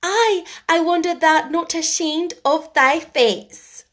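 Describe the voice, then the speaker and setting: A woman playing a high, slow-spoken storybook girl, proudly laying on broad Yorkshire. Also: a bit bright, exaggerated voice. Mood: triumphant. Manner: broad dialect, triumphant. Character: young girl, proudly playful, broad-talking.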